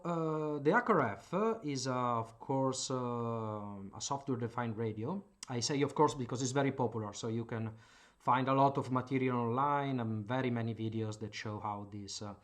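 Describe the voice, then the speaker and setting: A man from Italy with an Italian accent, explaining radio gear at his home workbench in a hesitant way, full of filler sounds. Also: medium pitch. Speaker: hobbyist channel host. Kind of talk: casual explanatory demo.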